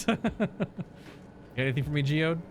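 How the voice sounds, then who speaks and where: A man's voice: on the high side, steady tone, unhurried, noisy background. A man giving casual, informal reaction commentary as a game streamer, joking and swearing freely.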